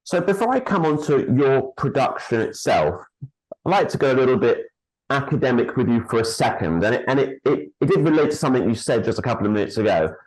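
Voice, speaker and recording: A man hosting a formal online interview over a video call, speaking in a measured way with frequent hesitant pauses. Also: medium pitch, very animated, darkish tone, low-quality recording. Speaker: host and chief executive.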